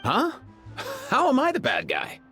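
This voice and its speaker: An actor voicing an easygoing, teasing young animal vet in a game, who laughs often. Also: male voice, very high-pitched, wildly swooping pitch, a little slow, bright, forceful tone, heavily acted. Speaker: dragon vet. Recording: game cutscene with music behind.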